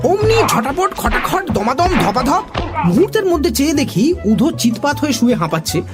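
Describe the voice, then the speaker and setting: An expressive, animated narrator telling a children's story in dramatic style. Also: high voice, normal speed, over loud music.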